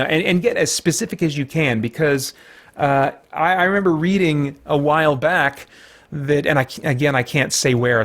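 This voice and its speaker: A man's voice: on the high side, fairly bright tone. A middle-aged American man, an earnest and conversational channel host, speaking directly to his viewers. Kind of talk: video commentary to camera, part casual musing, part fundraising pitch.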